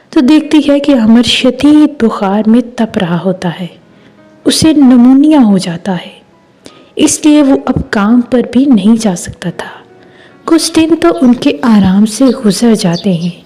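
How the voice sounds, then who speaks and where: A woman storyteller with a high, mellow voice. She reads a scripted story at a slow pace, with music behind her. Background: background music.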